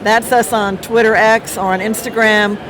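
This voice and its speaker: A woman's voice: fairly high pitch, steady tone, moderate pace. A middle-aged or older woman giving casual, emphatic and appreciative answers as an interview guest. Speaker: interview guest, TV writer and producer. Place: busy comic convention. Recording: noisy busy convention with crowd din.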